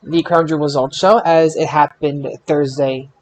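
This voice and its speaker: A man hosting a casual panel podcast about wrestling results, speaking in an emphatic, excitable way. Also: a little high, normal speed, a little hiss or hum. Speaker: podcast host.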